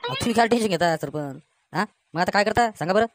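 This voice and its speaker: A woman voicing a brisk, insistent male village Patil in a comic cartoon, with a folksy Varhadi Marathi accent. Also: medium pitch, lively intonation, no room sound, natural voice. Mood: brisk, reassuring. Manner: insistent, folksy, dismissive of worries.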